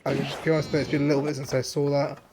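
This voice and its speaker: A hoarse man streaming a game, chatting casually and rambling with many fillers and restarts. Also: fairly high pitch, level tone, very fast, noisy background. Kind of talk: casual gameplay commentary.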